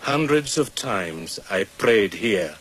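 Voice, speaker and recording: A man's voice: lowish voice, low-quality recording. Older Kenyan man narrating a documentary. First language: Swahili. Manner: measured, reflective storytelling. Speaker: documentary presenter. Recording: steady background noise.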